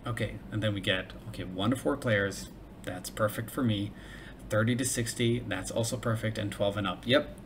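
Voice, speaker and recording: Male solo reviewer, bilingual in English and Hebrew, lower register, rambling and thinking aloud, recorded with noticeable background noise. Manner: thinking aloud, many fillers and restarts. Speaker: reviewer of crowdfunding pages. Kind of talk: casual solo commentary.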